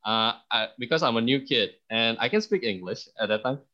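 Bright-voiced man from Indonesia, dull audio. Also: mid-range voice, animated, brisk. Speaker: podcast guest. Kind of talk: storytelling in an interview.

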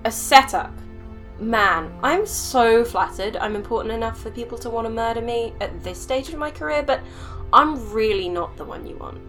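A flustered young woman scientist, voiced by an actress, who stumbles over her words and covers her nerves with sarcasm. Her voice is bright and lively, rising and falling a lot. Soft music sits faintly under the dialogue. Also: on the high side, moderate pace. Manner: nervous, stammering, sarcastic. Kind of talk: audio drama acting.

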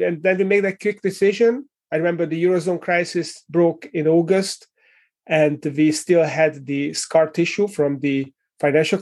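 A man's voice: a little high, darkish tone. A middle-aged man with a Hungarian accent, a guest answering interview questions in a thoughtful way with many fillers and restarts. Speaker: guest, leadership coach and author.

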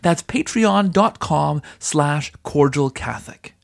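A man's voice: fairly high pitch, expressive, slow pace, gravelly. Enthusiastic man hosting a podcast. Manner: enthusiastic, earnest. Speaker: podcast host. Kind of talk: podcast introduction and interview talk.

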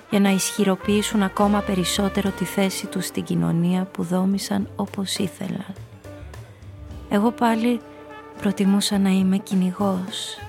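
An actress playing a cynical, seductive femme fatale, confessing her story in an intimate, breathy way in a noir radio play. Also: medium pitch, natural voice. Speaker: narrator and lead character.

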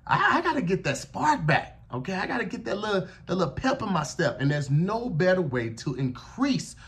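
A man hosting a podcast, doing an energetic, salesy sponsor read. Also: sits high, normal speed. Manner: hyped, salesy. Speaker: podcast host reading an ad.

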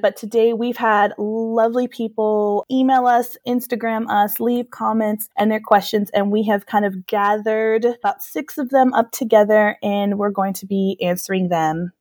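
Woman co-host, mid pitch, dry close sound, relaxed upbeat chatter. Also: no room sound. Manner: relaxed, upbeat, uses fillers like "um" and "for sure". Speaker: podcast co-host. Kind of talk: casual conversational podcast.

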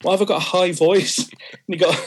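A man's voice: high-pitched, steady tone, brisk, breathy edge. A young man, a singer, talking candidly about his music in an interview, self-deprecating and laughing often. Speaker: singer guest. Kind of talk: interview conversation.

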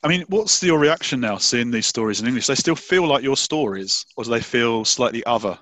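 A man in the interviewer's seat who talks in a thoughtful, measured way. His voice has middling pitch. The sound is dry and close, with no room echo. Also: expressive, fairly bright tone. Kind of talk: podcast interview.

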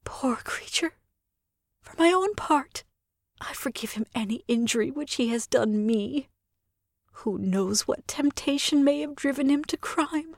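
A woman's voice: high-pitched, lively intonation, a little slow, no room sound, clean studio sound, exaggerated voice. A woman voicing a scheming noblewoman who feigns grief and outrage, in a theatrical, tearful way. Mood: pious. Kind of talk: dramatic audiobook reading.

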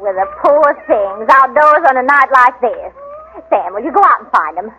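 A woman playing a folksy, fussy, soft-hearted country wife in a 1940s radio drama. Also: sits high, expressive, normal speed, heavily acted. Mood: nagging, concerned. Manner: folksy, emotional, rustic grammar. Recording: muffled, noisy 1940s radio recording.

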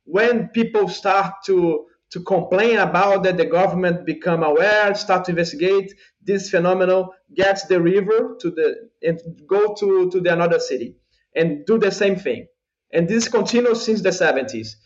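A man with a Brazilian accent, a native Portuguese speaker, answering podcast questions as a guest, halting with many repeated words and restarts. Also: sits high, lo-fi sound. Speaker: guest UFO researcher.

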